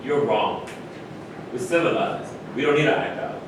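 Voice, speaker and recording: Far from the mic in a very echoey space, an actor plays a reasonable male athlete. His mid-range voice is measured and conversational, as in a stage reading. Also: expressive, close to an everyday voice. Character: reasonable basketball player among proud athletes. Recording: distant mic, heavy echo, noisy rumble.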